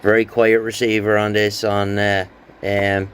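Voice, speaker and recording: A man's voice: on the low side, completely flat delivery, moderate pace, a bit bright, slight background noise. A man giving a casual, rambling, understated hands-on show-and-tell as a hobbyist, with some hesitation. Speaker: radio hobbyist presenting a rebuilt CB radio.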